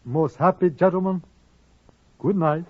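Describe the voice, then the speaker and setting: Courteous calculating gambler, Chinese accent, clipped broken English, very high-pitched for a man, muffled old radio sound. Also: unhurried. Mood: formal. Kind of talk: 1950s radio western acting. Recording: dull old 1950s radio recording.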